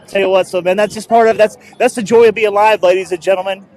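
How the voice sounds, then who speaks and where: A man's voice: sits high, fairly even intonation, quick pace, a little mellow. A man hosting a live street broadcast outdoors on a handheld mic, rambling and joking. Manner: rambling, upbeat, jokey. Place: outdoors at a loud street festival. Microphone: handheld microphone.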